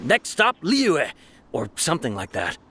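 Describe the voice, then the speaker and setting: An actor playing a nervous, cornered young man who stammers and hesitates in an animated video game style. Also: a little high, a little slow, a little hiss or hum, exaggerated voice. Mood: earnest, excitable.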